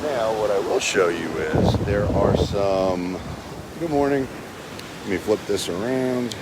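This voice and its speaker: A man's voice: on the low side, slow pace. A man in his forties giving a casual motivational talk to a phone camera on an outdoor beach, earnest and rambling with fillers. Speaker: real estate agent vlogger. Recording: heavy wind and surf noise. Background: waves and wind.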